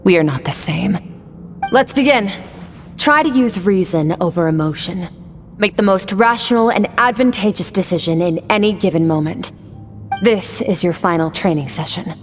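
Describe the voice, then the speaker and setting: A woman playing a stoic, rational warrior mentor in a video game, composed, measured and detached. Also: on the low side, big-room sound, faint music behind, lightly acted. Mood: stern, detached. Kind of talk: dramatic video game voice acting.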